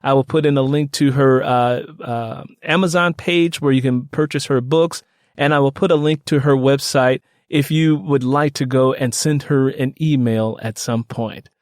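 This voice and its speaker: A man hosting a podcast interview, speaking conversationally with halting, repetitive phrasing. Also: mid-range voice, fairly even intonation, no echo, studio quality. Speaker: podcast host.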